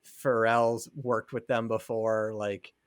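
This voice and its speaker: A man's voice: lowish voice, flat delivery, slow pace, no echo. A man co-hosting a podcast in casual chat, thinking aloud a little hesitantly. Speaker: podcast co-host.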